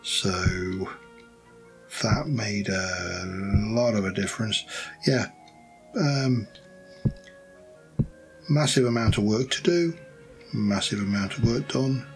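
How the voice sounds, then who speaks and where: Hoarse, lowish male let's-play host; loose, thinking-aloud commentary with a gravelly edge. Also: slow pace, pauses often, faint music behind, rough recording. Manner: rambling, thinking aloud while building. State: hoarse, voice going croaky. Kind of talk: casual gameplay commentary.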